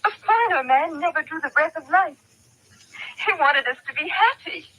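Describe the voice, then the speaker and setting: An actress playing a proud, grieving young fiancée, earnest and dramatic, in an old-time radio drama. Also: female voice, very high-pitched, expressive, normal speed, sharp tone, heavily acted. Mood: defensive. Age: young adult. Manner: earnest, dramatic, well-spoken. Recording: thin, noisy vintage radio recording.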